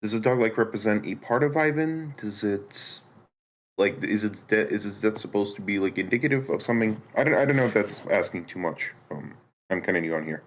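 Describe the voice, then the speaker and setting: A man with a somewhat low voice talks casually on an online call. He sounds unsure of himself, speaking haltingly and apologetically. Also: slight background noise. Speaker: newcomer participant in feedback session. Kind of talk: hesitant casual remarks. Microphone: online voice meeting.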